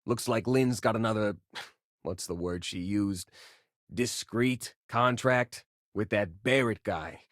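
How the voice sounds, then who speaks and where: A man's voice: lowish voice, slow, a bit bright, no room sound, clean studio sound. An actor playing a friendly, wisecracking male space miner and loyal crewmate in a sci-fi video game, with a casual, folksy, joking manner. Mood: easygoing.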